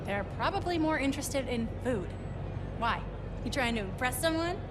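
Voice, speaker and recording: A woman's voice: high-pitched, animated, normal speed, background noise. An actress playing a clever, teasing teenage girl in a video game, speaking in a casual, wry, playful way. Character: bright, teasing teenage girl science whiz. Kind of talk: naturalistic video game acting.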